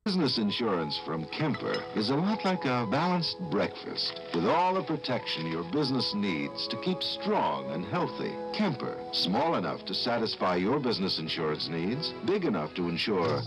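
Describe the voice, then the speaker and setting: A man's voice: fairly low pitch, huge pitch swings, moderate pace, low-quality recording. A man reading scripted advertising narration as a commercial announcer. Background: music.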